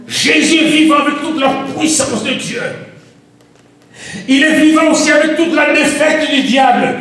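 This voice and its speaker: A man with a very high voice preaches in a French that is not his mother tongue, his lines climbing into shouted refrains. He sounds far from the microphone, and his voice rings through a big, echoing church hall. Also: measured pace. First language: an African language. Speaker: pastor. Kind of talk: sermon.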